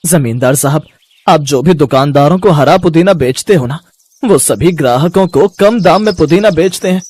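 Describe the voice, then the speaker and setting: A man's voice: a little high, moderate pace, a little mellow, breathy edge, no room sound. A man playing an earnest, troubled poor mint seller in a moral story, with a pleading manner. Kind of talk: Hindi moral story voice acting.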